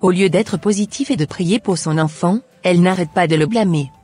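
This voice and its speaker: A woman's voice: on the low side, a little fast, mellow, slightly rough, soft background music. A woman voicing a concerned, pious friend in a cartoon, with an earnest way of speaking. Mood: dismayed. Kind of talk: scripted cartoon dialogue.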